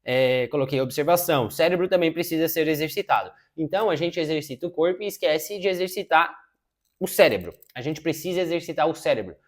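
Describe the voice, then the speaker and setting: A man's voice: fairly high pitch, lively intonation. Casual man in his thirties. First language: Portuguese. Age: 30s. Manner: informal, says "cara" a lot, many filler "é" pauses. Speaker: self-improvement YouTuber. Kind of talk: casual advice talk. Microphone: wireless lapel mic.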